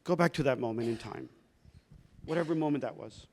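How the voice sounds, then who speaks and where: A man, a doctor leading a workshop, guiding a reflection exercise with slow, calm prompting questions. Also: medium pitch, a little hiss or hum.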